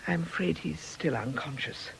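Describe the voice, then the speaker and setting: A woman's voice: low-pitched, moderate pace, vocal fry, a little hiss or hum. A woman playing a composed, soothing but firm nursing sister in a radio drama. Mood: composed, efficient. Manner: composed, reassuring.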